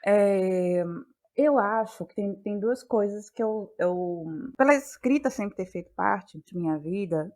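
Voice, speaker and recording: A woman talks as an interview guest. Her voice sits at a medium pitch, and she explains reflectively, searching for words and repeating key phrases for emphasis. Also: measured pace, darkish tone. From Bahia, Brazil. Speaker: interview guest, playwright and actress.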